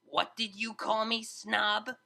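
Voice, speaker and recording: Crude, combative unicorn DJ mare played by a female narrator, high and forceful, slangy storybook character. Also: flat delivery, takes it slowly, creaky, exaggerated voice. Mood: furious. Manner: loud, slangy, confrontational. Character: brash, hot-tempered female unicorn DJ pony, crude and mocking.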